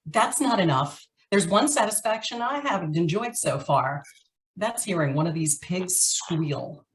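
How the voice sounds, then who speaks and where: Tough, menacing gun-toting gangster played by a woman, old-time radio crime style, animated pitch. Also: unhurried, rough recording. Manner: menacing, slangy tough talk.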